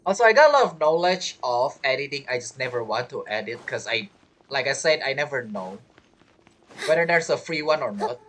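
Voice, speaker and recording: Animated, playful game streamer. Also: medium pitch, fairly quick. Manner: playful, offhand reactions. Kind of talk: casual gaming commentary.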